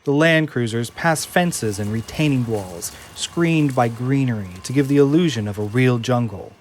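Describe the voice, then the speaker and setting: A man narrating an audio drama, reading scene descriptions in a measured storytelling style. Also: mid-range voice, slight background noise. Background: music.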